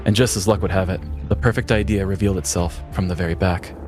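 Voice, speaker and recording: A man's voice: sits low, fairly even intonation, quick pace, over music. A man narrating a scripted comedic story in a dramatic storytelling manner. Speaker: narrator and podcast host.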